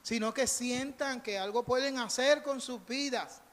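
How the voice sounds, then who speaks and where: A man's voice: very high-pitched, measured pace, a bit bright. A Puerto Rican man preaching as a pastor in a conversational storytelling style, emphatic, repeating phrases and acting out bits of dialogue. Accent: Puerto Rican Spanish. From Puerto Rico. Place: church sanctuary during a live service. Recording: reverberant hall, live church service.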